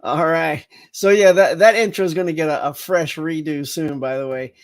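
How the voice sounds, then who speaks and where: A man's voice: on the high side, fairly even intonation, normal speed, soft background music. A middle-aged man hosting a casual live morning chat, slightly congested and rambling with fillers as he talks to viewers. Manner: rambling, chatty, lots of fillers and restarts.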